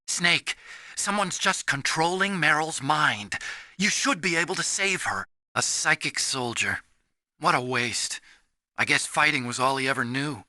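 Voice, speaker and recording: An actor voicing a timid, soft-hearted young male scientist in a video game, earnest and anxious as he explains things. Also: fairly high pitch, unhurried, no room sound, studio quality. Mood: wistful.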